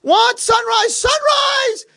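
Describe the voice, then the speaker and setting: A man's voice: a little high, big pitch swings, a little fast, husky, voice pushed up, heavily acted. A man voicing a kind, cheerful old artist in a nursing home, speaking earnestly and persuasively in a told story. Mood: exuberant.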